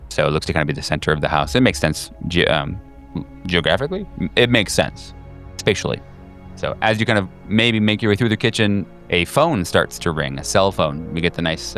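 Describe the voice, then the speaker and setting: A man with a low voice runs a tabletop horror game as game master. He describes scenes in a halting, improvised way, working out details aloud. Clear background music plays under him. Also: fairly bright tone.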